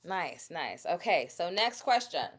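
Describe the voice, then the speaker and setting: A woman hosts a casual livestream, chatting straight to her viewers and tossing out quiz questions as she shows her products. Her voice sits a little low, and she takes her time, drawing her words out at an unhurried pace. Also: very animated. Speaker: livestream host selling bath products. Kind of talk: casual live sales stream.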